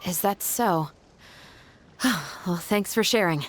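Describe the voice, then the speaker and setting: A woman's voice: fairly low pitch, slow pace, slight background noise. A woman playing a composed, serious, thoughtful young female officer in an anime game. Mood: reflective, polite.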